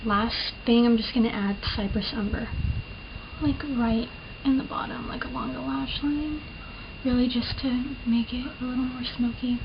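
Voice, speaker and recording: A woman's voice: fairly high pitch, steady tone, moderate pace. A young woman chatting through a makeup tutorial in a casual, self-deprecating, rambling way, with a slight lisp from the camera mic. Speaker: beauty vlogger. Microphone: built-in camera mic. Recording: muffled lo-fi camera mic with clear hiss.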